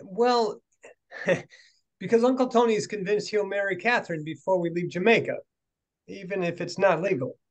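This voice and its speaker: A man's voice: high voice, normal speed, lightly acted. A man playing a protective, reassuring brother with dry humor in a screenplay table read. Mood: reassuring, amused.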